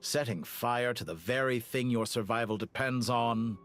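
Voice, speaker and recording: An actor playing a cold, cultured villainous scientist in a video game, speaking in eloquent, measured, theatrical phrases. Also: male voice, medium pitch, fairly bright tone, natural voice. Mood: resigned admiration. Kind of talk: scripted video game voice acting. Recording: music under the voice.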